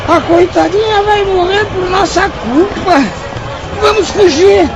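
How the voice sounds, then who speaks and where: A man's voice: very high voice, takes it slowly, muffled recording, a crowd in the background, exaggerated voice. A man playing a panicked reveller desperate to flee, in a radio drama. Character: panicked reveller fleeing a dying girl.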